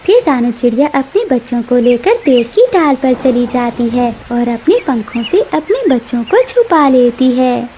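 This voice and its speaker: A woman tells a scripted story for children. Her voice is high and mellow, suited to narration of a simple tale. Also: big pitch swings, measured pace, hardly any pauses, slight background noise.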